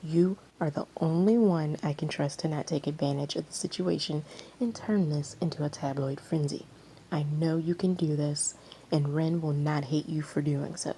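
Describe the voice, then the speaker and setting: A woman's voice: low voice, mellow, slight background noise, poor audio. A woman voicing an anxious, pleading male talent manager with an urgent, insistent manner in a story reading.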